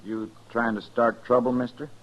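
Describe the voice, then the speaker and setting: A man's voice: mid-range voice, flat delivery, normal speed, natural voice. A man playing a wary, peaceable cowboy in a 1950s radio western, folksy and defensive, with an American accent. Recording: dull 1950s radio recording.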